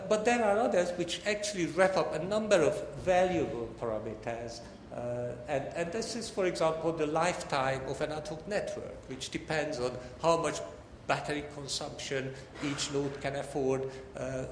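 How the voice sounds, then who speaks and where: A man's voice: on the high side, normal speed. Hungarian-accented male lecturer in an echoing hall. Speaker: academic lecturer. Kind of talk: formal academic lecture to an audience. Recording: echoey hall, long reverb, faint noise.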